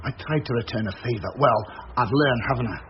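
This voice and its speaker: An actor playing an embittered, defiant pub landlord in a British radio drama, blunt and sarcastic. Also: male voice, medium pitch, fast talker, close to an everyday voice. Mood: angry, weary. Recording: muffled radio recording, faint noise.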